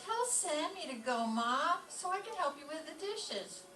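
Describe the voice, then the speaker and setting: A woman's voice: high-pitched, very animated, measured pace, pushed and bright, full character voice. A woman playing a sweet, eager young daughter, a girl scout, in an old-time radio comedy. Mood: helpful. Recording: echoey stage, far from mic, faint noise.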